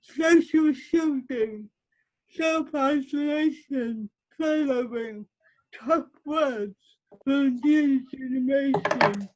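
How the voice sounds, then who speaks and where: Lady reading a poem real slow on a video call, high voice. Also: a little mellow, muffled recording. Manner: measured, lingering delivery. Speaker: poet reading.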